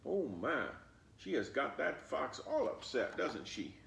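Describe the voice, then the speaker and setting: Slow, creaky man reading a children's story. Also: mid-range voice, sing-song, a bit bright, slight background noise. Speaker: storybook reader. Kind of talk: animated read-aloud for children. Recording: roomy, echoing, distant microphone.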